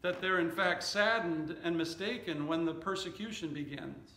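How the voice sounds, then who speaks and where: A middle-aged man preaching a sermon as a pastor, speaking in measured, deliberate short phrases. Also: high voice, bright, forceful tone, roomy sound, slight background noise. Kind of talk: sermon with scripture reading and anecdotes. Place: indoor church stage at a wooden lectern.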